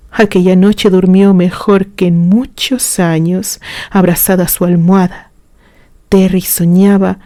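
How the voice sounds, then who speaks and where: A woman narrates a romantic literary tale at an unhurried, slow pace. Her tone is dark and mellow, with a middling pitch. The recording is very dry, with hardly any room sound. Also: dry recording. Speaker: story narrator.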